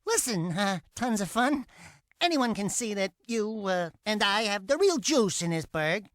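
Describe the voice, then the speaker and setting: A small, furry wisecracker from a cartoonish video game, talking in a high, bouncy voice. He is cocky and brash, firing off hustler patter with animated swoops in a dry studio sound. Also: unhurried, dry recording, full character voice. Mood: cocky, wheedling.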